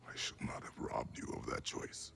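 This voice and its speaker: An actor playing a stoic, weary middle-aged warrior father in a video game, speaking in a terse, grave, formal and measured growl. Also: whispered, lightly acted. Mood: grave regret. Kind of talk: video game cinematic dialogue. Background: music.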